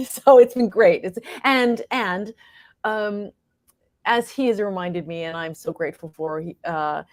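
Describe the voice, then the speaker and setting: A woman's voice: mid-range voice, unhurried, no room sound, clean studio sound. A woman speaking as an interview guest about creative work, in a casual, effusive and appreciative conversational way. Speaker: playwright and performer, interview guest.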